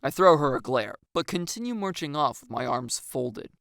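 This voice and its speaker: Female audiobook narrator: very low pitch, animated, expressive first-person storytelling. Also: moderate pace, vocal fry, no room sound, studio quality.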